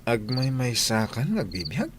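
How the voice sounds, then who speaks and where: A man's voice: medium pitch, big pitch swings, unhurried, background noise. A man playing a friendly, curious and protective employer in an audio drama. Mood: sympathetic, concerned. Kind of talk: audio drama acting in dialogue.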